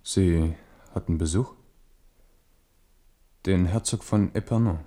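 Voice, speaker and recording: A proud French warrior king in a radio drama. His voice is low, and he speaks in a declamatory, commanding way with an ironic edge and the weight of his cares. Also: slow, dark tone. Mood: dry, pointed. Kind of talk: German radio play acting.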